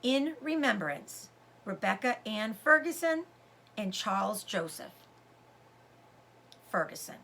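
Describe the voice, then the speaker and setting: A woman voicing a wistful, grieving orphaned pioneer girl, speaking in a hushed, halting way, in a storybook reading. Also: medium pitch, sing-song, creaky, a little hiss or hum, full character voice. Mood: solemn.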